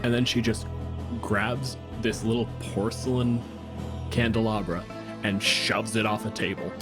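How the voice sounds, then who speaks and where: Guy running a dnd style game, vivid dramatic storytelling, pauses a lot, clear music behind him. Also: on the low side. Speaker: game master. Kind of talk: improvised tabletop game narration.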